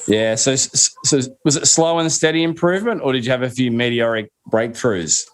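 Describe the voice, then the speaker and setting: A man's voice: medium pitch, normal speed. A man with an Australian accent hosting an interview, asking long, winding, chatty questions. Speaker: podcast host. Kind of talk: interview host.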